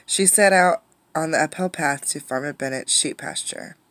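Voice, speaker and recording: Lower-pitched woman reading a storybook aloud. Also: no room sound. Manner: expressive, pausing to ask children questions. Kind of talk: interactive storybook read-aloud.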